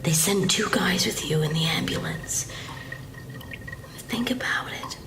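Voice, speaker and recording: A woman's voice: lowish voice, a little slow. Whispering, rebellious young woman. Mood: conspiratorial. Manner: hushed, blunt, conspiratorial. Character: rebellious, defiant young woman captive plotting escape. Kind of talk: audio drama acting. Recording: echoey room.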